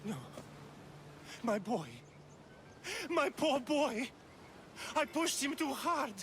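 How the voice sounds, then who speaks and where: A man's voice: very high voice, expressive, very slow, slight background noise, full character voice. A man playing a grumpy middle-aged fisherman father in a video game cutscene. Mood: grieving. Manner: grumpy, gruff, exasperated. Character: sour, grumbling fisherman father.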